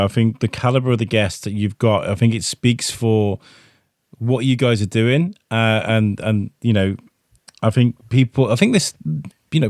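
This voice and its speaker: A man's voice: mid-range voice, darkish tone, no room sound, studio quality. A man hosting a podcast, asking questions in a chatty, jokey way. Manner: chatty, jokey, with playful impressions. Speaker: podcast host. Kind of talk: casual podcast interview.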